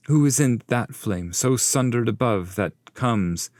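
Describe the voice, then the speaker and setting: A man acts out a reverent pilgrim poet in an epic verse audiobook. He is eager and pleads formally with his master, his pitch raised above a narrator's low register. The recording is dry. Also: expressive, measured pace, darkish tone, no echo, natural voice. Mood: respectful, curious.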